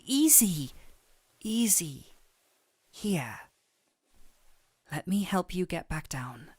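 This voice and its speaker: Casting: actress as caring fantasy healer woman for roleplay audio; lowish voice, soothing yet firm, very close dry sound. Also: very animated, slightly rough, dry recording, full character voice. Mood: firm, protective. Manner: soft, soothing, unhurried, earnest. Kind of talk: intimate scripted roleplay audio addressed to the listener.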